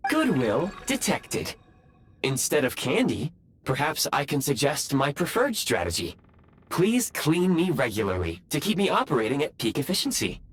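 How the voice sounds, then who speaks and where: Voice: mid-range voice, big pitch swings, moderate pace, slight background noise, close to an everyday voice. An actor voicing a polite, analytical talking machine in a video game, formal, clipped and precise. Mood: polite, helpful. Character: self-aware ancient talking goggles, polite analytical machine. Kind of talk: robotic video game character acting.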